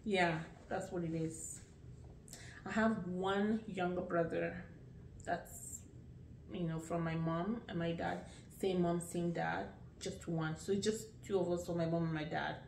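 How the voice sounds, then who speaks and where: A woman in her late thirties with a Nigerian accent talks slowly through a casual vlog, her voice sitting a little low. She rambles and restarts, relaxed and chatty. The sound is roomy and echoey, with the mic some distance away. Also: gravelly, slight background noise. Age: late 30s. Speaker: vlogger. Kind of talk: casual get-to-know-me vlog.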